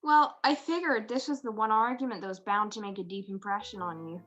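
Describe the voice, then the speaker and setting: An actress playing a detective's playful, quick-thinking wife, arch and genteel, in an old-time radio drama style. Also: female voice, mid-range voice, a bit bright, soft background music, lightly acted. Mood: playful, pleased. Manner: arch, genteel, matter-of-fact. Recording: dull, lo-fi video call recording.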